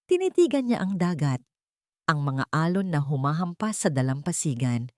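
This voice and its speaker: A woman's voice: sits low, very animated, moderate pace, a little mellow, dry recording, studio quality. A woman narrating a scripted dramatic story as an audiobook-style storyteller. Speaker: narrator of romantic drama stories.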